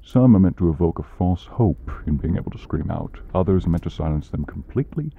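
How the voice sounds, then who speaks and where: A man playing a grumpy, exasperated demon who punishes people, curt and gruff, in an ASMR comedy roleplay. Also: sits low, lively intonation, fairly quick, no echo, phone-quality sound, full character voice. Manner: gruff, curt, long-suffering.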